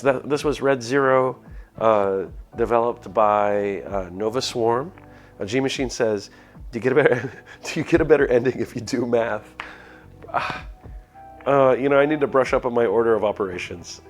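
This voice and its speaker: A man streaming a game live, reading a visual novel aloud and chatting casually in a hesitant, rambling way. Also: lowish voice.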